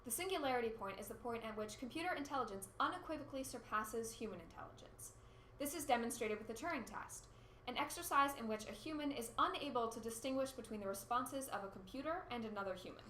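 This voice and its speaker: A cynical female radio host acted by a woman speaks in a bright, forceful voice with sardonic exasperation. She is in a roomy, echoing space with faint noise behind her, as in an amateur radio play. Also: a little high, lively intonation, fast. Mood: presenting.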